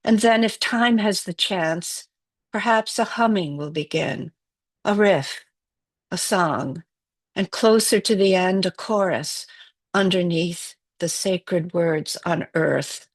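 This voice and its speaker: A woman from Wales whose first language is Welsh, giving a poetry reading in a measured, reflective way. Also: fairly low pitch, no room sound. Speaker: poet.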